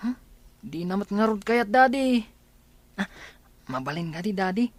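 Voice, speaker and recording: A woman's voice: medium pitch, animated, moderate pace, no echo, lightly acted. A woman voicing a childlike, affectionate young schoolboy who wheedles and whines in an Ilocano radio drama. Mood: whiny, wheedling.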